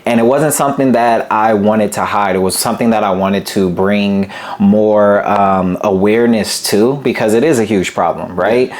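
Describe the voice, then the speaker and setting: A young man from Berkeley speaks as a podcast guest, telling his story in a relaxed, steady way. His voice is somewhat low and full of small "um" pauses. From Berkeley, California. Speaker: guest, business founder. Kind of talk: casual interview chat.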